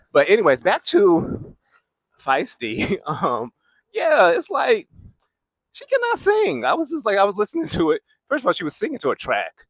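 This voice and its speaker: A man's voice: on the high side, dry recording. A young man talking casually as he walks a city street, rambling and laughing often, holding a handheld mic. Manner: rambling, laughs often, loops back to his point. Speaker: vlogger walking the street. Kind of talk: casual walking vlog commentary. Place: outdoors on a city boulevard.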